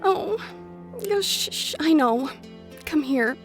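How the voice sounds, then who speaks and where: A woman voicing a feisty, caring young woman companion in a high, lively voice. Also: slow pace, faint music behind, heavily acted. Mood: consoling.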